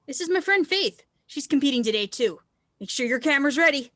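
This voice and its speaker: A woman's voice: high-pitched, animated, fairly quick, fairly bright tone, no room sound. A woman reading the part of an upbeat, encouraging teenage snowboarder in a screenplay table read. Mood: supportive.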